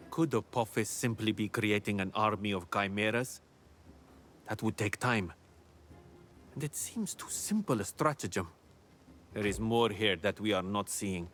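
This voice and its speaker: Man playing a deep-voiced, grave ancient sage. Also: lightly acted. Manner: measured, formal, stately. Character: ancient male builder-sage, grave, commanding, formal. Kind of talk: scripted video game quest dialogue.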